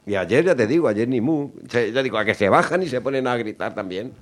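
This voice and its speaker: A man's voice: mid-range voice, lively intonation, brisk. A man with a Spanish accent in casual chat, blunt and complaining, swearing freely. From Spain.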